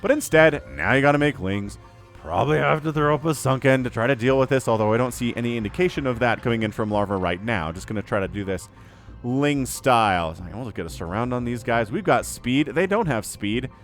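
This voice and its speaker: Excitable male game caster, slightly scratchy. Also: mid-range voice, a little fast, faint music behind. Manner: excitable, shouts and yelps at big plays. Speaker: StarCraft shoutcaster. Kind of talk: solo game commentary.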